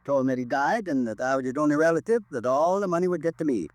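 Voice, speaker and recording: A man's voice: a little high, expressive, fast, no echo, lightly acted. An actor playing a remorseful American robber in his seventies, rambling wistfully in a 1950s radio drama. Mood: eager, open. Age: 70s. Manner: rambling, wistful, clipped folksy sentences. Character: weary, remorseful 72-year-old robber confessing to police.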